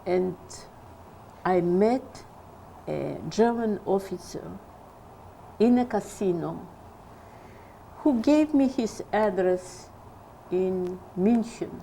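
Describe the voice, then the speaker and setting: An older woman tells her story slowly in an interview. Her voice is mellow and low in brightness. She stops often to search for the right English word, and a faint hiss runs under the recording. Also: lively intonation, creaky. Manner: halting, searching for English words. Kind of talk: oral history testimony.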